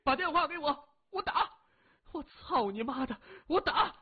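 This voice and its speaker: A spoiled young man in mortal terror for an audiobook. He shouts high and shrill, wailing and close to tears, on thin phone-like audio. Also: animated, a little slow. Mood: hysterical panic. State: panicked, near tears. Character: terrified, spoiled young man begging for his life.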